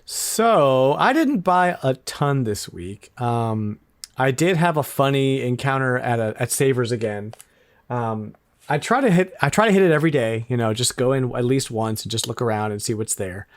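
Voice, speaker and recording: A man's voice: mid-range voice, animated, normal speed, no echo. Enthusiastic male podcast co-host. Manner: enthusiastic, laughs often, repeats words. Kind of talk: casual chat.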